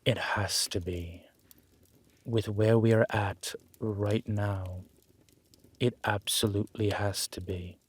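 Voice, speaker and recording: Man voicing a slow, hushed, solemn ancient immortal. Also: fairly low pitch, steady tone, slightly rough, no room sound. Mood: solemn, guarded. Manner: measured, formal, solemn. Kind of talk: roleplay audio drama.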